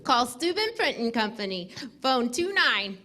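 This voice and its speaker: 1940s radio ad girl played by a woman: high, bright, selling with bouncy delivery. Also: big pitch swings, a little slow, exaggerated voice. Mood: cheery selling. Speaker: radio sponsor announcer. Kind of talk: scripted 1940s radio advertising.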